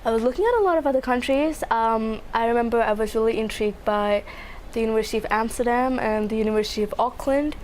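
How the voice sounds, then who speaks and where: A woman's voice: fairly high pitch, fast talker, darkish tone, a little hiss or hum. Chatty young woman from India. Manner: casual, chatty, with fillers and light laughs. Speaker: psychology student panelist. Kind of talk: panel discussion answers.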